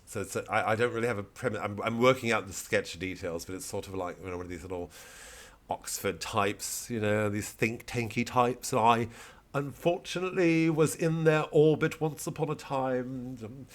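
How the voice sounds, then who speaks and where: A man who works as a comedian, talking in a casual, rambling vlog monologue with a deadpan delivery. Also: mid-range voice, moderate pace. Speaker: comedian promoting his live show.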